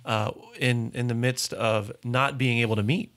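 A male host guides a business interview in a studio, with his voice in a mid range. He asks questions in a relaxed, conversational way. He laughs often and answers the guest with short affirming words. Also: medium pitch, moderate pace. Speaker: podcast host. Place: dark studio set. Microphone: studio boom mic.

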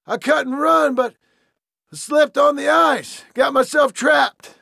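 A man playing a rough, defiant young outlaw in a western game, raspy and aggrieved. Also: very high voice, slow pace, sharp tone, no echo, clean studio sound, full character voice. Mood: strained, boastful. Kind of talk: western video game acting.

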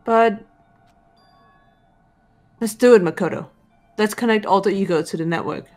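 A woman's voice: medium pitch, darkish tone, soft background music, close to an everyday voice. Woman playing a composed, serious teenage girl detective. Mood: serious, resolute. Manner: measured, deliberate, serious. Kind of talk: visual novel fan dub voice acting.

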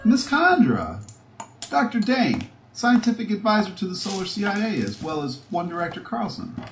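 Guy doing a sweary paranoid mad scientist for a sci-fi audio play, theatrical, highish pitch, echoey room. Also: gravelly, full character voice. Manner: muttering to himself, theatrical, swinging between glee and panic. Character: scheming, foul-mouthed, paranoid male mad scientist, gloating. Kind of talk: audio drama acting, sci-fi monologue. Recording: roomy echo with background noise.

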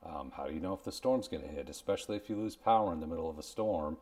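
Very deep-voiced man, casual reviewer. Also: fast talker, a little mellow, distant mic. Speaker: host. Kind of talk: casual product review to camera. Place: indoors, small room at home. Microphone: clip-on lavalier on shirt.

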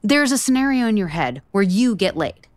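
A woman's voice: mid-range voice, sing-song, moderate pace, dry recording, lightly acted. An actress playing a blunt, exasperated single woman in a romantic comedy audio drama, sarcastic, emphatic and profane. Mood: indignant ranting. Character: blunt, exasperated, foul-mouthed single woman, sharp-tongued cynic.

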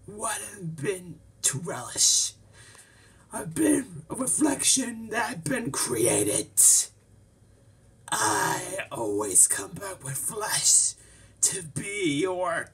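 Woman for amateur toy-character lines, theatrical, slow-paced and husky, distant home mic. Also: medium pitch. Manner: exaggerated, theatrical. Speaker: amateur video creator voicing toy characters. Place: indoor room at a desk.

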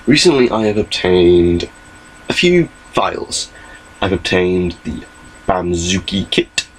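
A man's voice: low-pitched, sing-song, takes it slowly, slight background noise, low-quality recording. A young man giving a rambling, chatty webcam update from his small bedroom, recorded on his laptop mic. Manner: rambling, chatty, gestures a lot. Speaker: vlogger.

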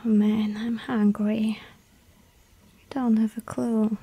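Woman voicing a hungry vampire girl, breathy whisper, dark mellow tone, slow teasing delivery. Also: fairly high pitch, flat delivery, heavily acted. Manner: teasing, giggling. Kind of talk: ASMR roleplay.

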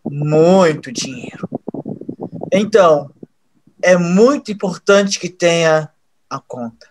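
An actor playing a resentful young male hacker in an audio drama, halting at times. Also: on the high side, expressive, poor audio. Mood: subdued, affectionate. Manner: halting, repeats words when pressed.

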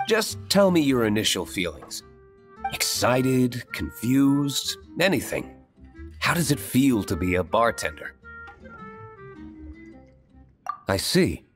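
An actor playing a reserved young nobleman in a video game, dry, measured and understated. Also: medium pitch, very animated. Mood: dry, probing. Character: reserved, cool young nobleman tavern owner. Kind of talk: video game character dialogue. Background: music.

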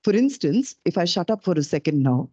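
A middle-aged woman with a low-pitched voice gives an animated, self-deprecating public lecture into a podium microphone. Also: brisk, breathy edge, no room sound. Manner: animated, self-deprecating, gestures while talking. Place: dark auditorium stage.

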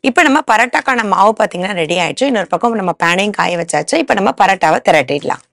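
Woman in her 30s walking viewers thru a recipe, bit of gravel in her voice, lapel mic in a tv kitchen. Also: medium pitch, animated, fast, a bit bright, faint music behind. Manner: explains each step plainly to viewers. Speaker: cooking show host. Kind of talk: step-by-step cooking demonstration. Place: studio kitchen set.

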